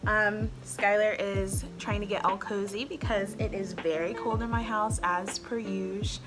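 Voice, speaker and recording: A woman's voice: mid-range voice, fairly even intonation, measured pace, over music. A woman in her twenties chatting casually to camera at home as a vlogger host, rambling and filling her talk with "like". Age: 20s. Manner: chatty, rambling, heavy use of "like" fillers.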